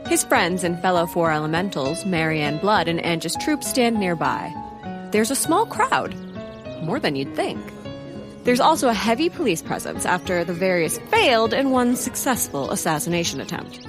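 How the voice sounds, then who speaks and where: A woman's voice: fairly low pitch, sing-song, normal speed. A woman reading scripted narration for a comedic audio drama, setting the scenes as a storyteller. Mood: announcing. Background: music.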